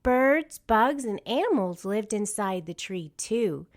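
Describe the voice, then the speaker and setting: Reader lady as a kind storybook farm woman explaining stuff, slow, lilting pitch. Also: lively intonation. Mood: gentle, informative. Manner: explanatory, reassuring. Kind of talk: storybook character reading.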